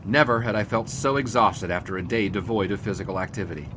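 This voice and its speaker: An actor playing a dry, wordy American newspaper sportswriter of the 1920s, narrating and talking in an audio drama. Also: male voice, lowish voice, fast, noisy background, close to an everyday voice. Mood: weary, wry. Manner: dry, wry, formal wordy phrasing. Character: wry, wordy 1920s newspaper sportswriter, queasy reluctant flyer. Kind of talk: first-person narration and dialogue in period audio drama.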